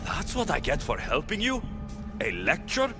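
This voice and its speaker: An actor playing a blunt, firm warrior hero serving as a judge in a video game. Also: male voice, sits high, huge pitch swings, normal speed, heavily acted. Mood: stern. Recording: game soundtrack music underneath.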